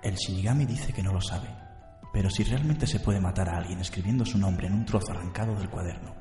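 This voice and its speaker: A man playing an odd, suspicious young detective in a radio drama, deadpan and deliberate. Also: sits low, soft background music, close to an everyday voice. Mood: suspicious, analytical. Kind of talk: radio drama adaptation of anime.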